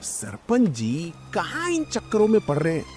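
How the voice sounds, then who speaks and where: Smug rival vendor, wildly swooping pitch man acting. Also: huge pitch swings, moderate pace, darkish tone, faint music behind. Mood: scornful, coaxing. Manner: taunting, smug. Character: boastful, mocking rival biryani seller, a man.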